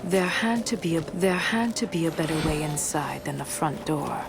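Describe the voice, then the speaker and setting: An actress playing a proud, dutiful knight, narrating a video game campaign in a measured, dry, confident way. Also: female voice, low voice, expressive, vocal fry, background noise. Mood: composed, resolute. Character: proud, obedient female knight warrior narrating her campaign.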